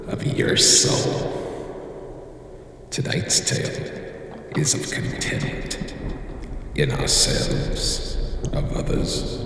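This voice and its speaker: Sinister, extremely deep-voiced horror host. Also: male voice, creaky, pushed and bright, heavily acted. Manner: ominous, theatrical, drawn-out. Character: sinister male horror anthology host, eerie and solemn. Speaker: show host. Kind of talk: scripted horror show introduction. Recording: echoey long reverb, noisy ambience.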